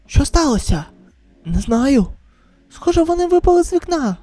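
High-voiced woman reading manga aloud. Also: big pitch swings, normal speed, warm tone, dry recording. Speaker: amateur manga voice-over reader. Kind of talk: expressive acted manga reading. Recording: close, dry voice over music.

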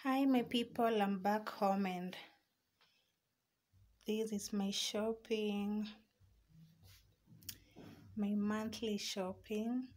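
A young woman with a Kenyan accent chats to her viewers, easy and friendly, now and then dropping into Swahili. Her voice sits at an ordinary middle pitch. She is recorded by a camera standing in a small room at home. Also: fairly even intonation, extremely slow, long pauses. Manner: chatty and warm, mixing in Swahili phrases. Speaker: vlogger. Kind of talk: casual vlog narration.

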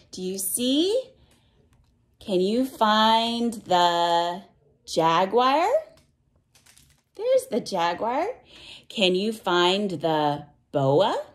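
A woman reading a picture book aloud to young children, with sing-song verse and simple explanations and questions. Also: on the high side. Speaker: read-aloud storyteller for children.

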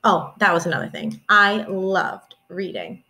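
Young woman reading aloud, very animated pitch. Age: young adult. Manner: animated, deliberate storytelling to children. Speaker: elementary school teacher reading aloud. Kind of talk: expressive children's picture-book read-aloud. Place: small room at home, indoors.

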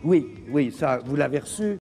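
A man's voice: moderate pace, soft background music. An older man, a political commentator trading teasing remarks on a TV comedy set. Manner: teasing, lively, swings between mock-serious lows and excited highs. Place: TV studio set dressed as a living room.

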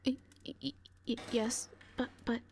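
A woman playing a shy, anxious young owl noblewoman in an audio drama, stammering softly and hesitantly. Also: on the high side, little pitch movement, measured pace, vocal fry, slight background noise. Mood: timid, pleading.

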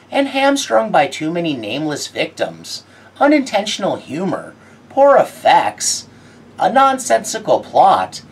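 Woman host, low and husky, wildly animated intonation, dry sardonic reviewer. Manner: wry, sardonic, theatrical delivery. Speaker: horror film reviewer. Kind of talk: scripted video-essay commentary.

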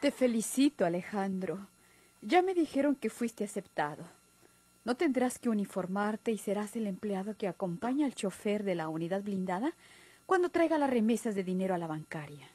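Lively, husky, commanding Mexican woman. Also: medium pitch, normal speed. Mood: encouraging, firm. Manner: emphatic, commanding, reproachful. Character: bossy, encouraging, proud sweetheart of a timid young man. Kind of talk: 1950s-style Mexican radio drama acting.

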